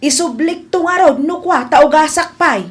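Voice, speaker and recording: A woman's voice: sits high, normal speed, natural voice. A woman playing a grateful elderly village neighbour in an Ilocano comedy radio drama, with an Ilocano Filipino accent. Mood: grateful, pleasantly surprised.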